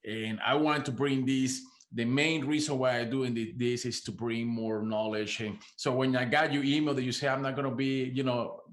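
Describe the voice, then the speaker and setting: A man from Venezuela hosts a podcast interview, speaking with a Venezuelan Spanish accent. He talks with enthusiasm, repeats words as he goes and laughs often, at a middling pitch. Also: steady tone, moderate pace, hardly any pauses. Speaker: podcast host. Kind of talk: casual interview.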